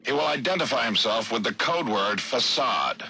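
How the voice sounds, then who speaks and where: A man voicing a cold, controlled crime boss in a video game audio log, with a gravelly rasp and precise, formal, commanding speech. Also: medium pitch, lively intonation, normal speed, pushed and bright, exaggerated voice. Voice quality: gravelly, raspy.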